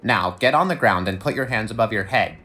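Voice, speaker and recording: A commanding police detective , acted by a man in an audio drama. The voice is fairly low yet very forceful and sharp, with firm authority. Soft music sits behind it. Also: expressive, brisk.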